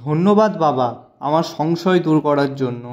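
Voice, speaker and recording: A man voicing an honest, gentle, struggling village shopkeeper in a cartoon, earnest and pleading in delivery. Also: on the high side, animated, moderate pace, muffled recording, natural voice. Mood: respectful. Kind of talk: cartoon voice acting.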